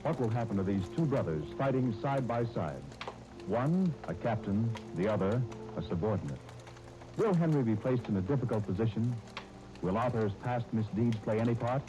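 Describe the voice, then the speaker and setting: A man's voice: mid-range voice, lively intonation, moderate pace, music in the background, poor audio. A man announcing in 1930s radio style, reading scripted narration and sponsor copy with dramatic emphasis.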